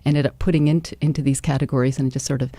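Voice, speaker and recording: A woman's voice: a bass voice, fairly even intonation, racing, dark tone, no echo. A Canadian woman speaking effusively as a musician guest in a live radio interview. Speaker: interview guest, singer-songwriter. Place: radio studio.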